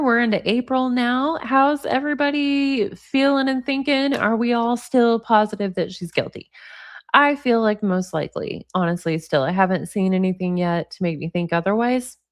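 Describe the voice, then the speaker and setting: A woman in her forties hosting a livestream. She reads text messages aloud and chats with viewers in the comments. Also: fairly high pitch. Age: 40s. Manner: chatty, talks to viewers in the comments. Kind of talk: casual livestream chat, reading texts aloud.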